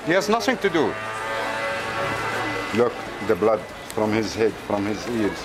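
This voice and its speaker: A man's voice: on the low side, very animated, a little slow, over loud music. Man with an Arabic accent guiding visitors. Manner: insistent, pointing things out. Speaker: tour guide. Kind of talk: guiding visitors through a market. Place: busy outdoor street market. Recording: loud street crowd behind.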